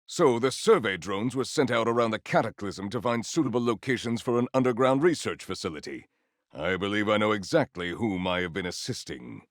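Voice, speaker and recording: An actor playing a proud, formal, honor-bound warrior in a video game, with archaic, theatrical diction. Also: male voice, fairly low pitch, expressive, brisk, dry recording, studio quality, natural voice. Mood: composed, stately.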